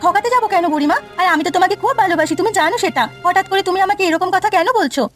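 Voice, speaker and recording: A woman plays a simple village neighbour in a cartoon folk tale. The voice is very high and quick, pleading and questioning, over faint music. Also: fairly bright tone. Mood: pleading, hurt.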